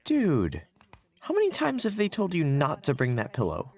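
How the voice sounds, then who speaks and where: A woman playing a high school student chatting with friends in an audio drama. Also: wildly swooping pitch, moderate pace, darkish tone, breathy edge, heavily acted. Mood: casual. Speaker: voice actor.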